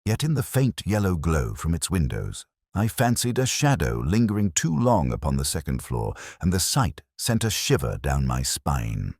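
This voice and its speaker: A man's voice: rumbling low, wildly swooping pitch, moderate pace, hardly any pauses, a little mellow, slightly rough, no echo, clean studio sound. A man reading scripted first-person detective fiction as an audiobook narrator.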